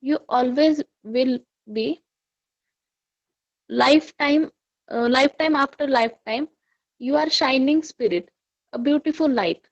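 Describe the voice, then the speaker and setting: A woman reading a guided affirmation in a soft, soothing, deliberate way. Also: high-pitched, dull audio. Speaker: course reader.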